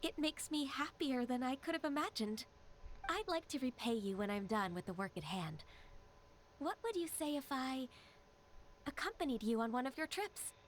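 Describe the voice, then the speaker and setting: A woman voicing a soft-spoken, earnest young secretary in a video game. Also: high voice, big pitch swings, moderate pace, slight background noise. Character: gentle, dutiful young woman secretary, half-divine. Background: faint game music.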